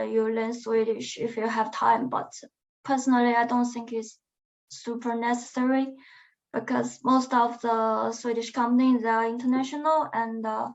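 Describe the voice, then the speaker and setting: A young woman speaking English as a second language, answering interview questions in a halting, hesitant way. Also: a little high. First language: not English; non-native speaker. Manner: hesitant, halting phrasing with restarts. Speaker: alumna guest. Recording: muffled lo-fi webinar audio.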